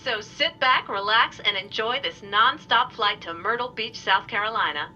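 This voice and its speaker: A chirpy female flight attendant gives a polished, sing-song cabin announcement. The voice is sharply bright but the sound is band-limited, with a faint rumble underneath, as in an audio drama. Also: normal speed, lo-fi sound. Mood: chirpy, welcoming. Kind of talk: scripted airline announcement.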